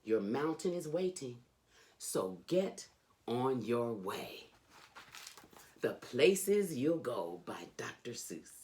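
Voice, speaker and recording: A woman reading a children's storybook aloud as host, with theatrical, emphatic delivery and drawn-out phrases. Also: rumbling low, big pitch swings, darkish tone, a little hiss or hum.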